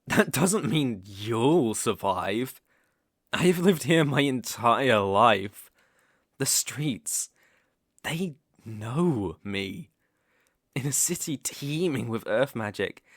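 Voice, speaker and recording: A man with a British accent playing a sinister, smooth-talking werewolf in an ASMR roleplay, theatrical and teasing, sometimes dropping to a whisper. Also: mid-range voice, lively intonation, very slow, no echo, clean studio sound, exaggerated voice. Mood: ominous. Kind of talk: ASMR roleplay audio acting.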